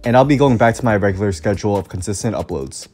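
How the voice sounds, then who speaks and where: This is a young man delivering scripted basketball commentary in a low, mellow voice that still sounds a little rough from a recent flu. He moves steadily through lists of stats in an upbeat tone. Faint music plays underneath. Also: fast. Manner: reads a prepared script with stat lists, upbeat. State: recovering from the flu, voice slightly off. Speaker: sports commentary YouTuber.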